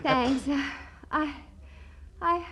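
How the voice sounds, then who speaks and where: A woman's voice: high voice, very slow. A woman playing a sweet, guileless young American waitress in 1940s radio comedy, artless, hesitant and literal-minded. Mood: meek, matter-of-fact. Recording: noisy, clicking vintage 1940s radio recording.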